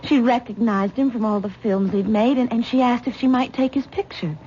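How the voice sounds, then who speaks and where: A frightened young wife who trips over her words, voiced by an actress with a fairly high voice. The recording is muffled and carries a light hiss, like an old radio broadcast. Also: moderate pace, warm tone, natural voice. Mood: tense. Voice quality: stammering, nervous. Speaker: troubled wife. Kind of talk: 1970s radio drama acting.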